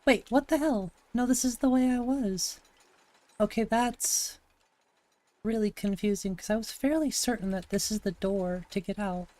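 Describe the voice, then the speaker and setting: A woman from Montana with a gravelly voice, chatting casually to her live-stream audience while she plays a game, thinking aloud and answering chat. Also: mid-range voice, moderate pace, dark tone. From Montana, USA. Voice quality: gravelly, slightly raspy.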